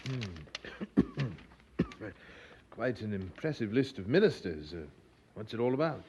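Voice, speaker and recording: An actor playing an earnest, gentle young preacher in an audio drama, speaking in formal, measured old-fashioned phrases. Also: male voice, fairly low pitch, very animated. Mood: concerned. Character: earnest 29-year-old English preacher, gentle, pious, weary. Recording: dull lo-fi audio with background noise.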